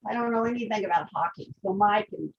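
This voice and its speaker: A woman's voice: medium pitch, fast, gravelly, lo-fi sound, slight background noise. An older woman with a Texas accent, chatting enthusiastically and introducing a guest.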